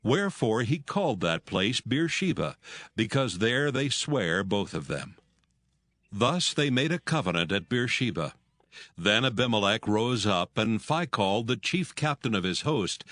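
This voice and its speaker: A man's voice: lowish voice, a bit bright, no echo, clean studio sound. A man narrating scripture as a scripted reading.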